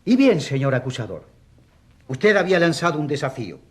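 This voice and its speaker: Animated, ironic defence lawyer. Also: male voice, fairly high pitch, a little fast, husky. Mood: emphatic, assured. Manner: rhetorical, emphatic, sardonically courteous. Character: eloquent, ironic defence lawyer for a rural priest. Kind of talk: courtroom radio drama acting.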